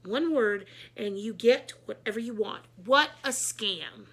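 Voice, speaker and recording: Lady doing a cheeky little school kid from a storybook, blunt n sassy, bright voice. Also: mid-range voice, expressive, unhurried. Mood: smug, mocking.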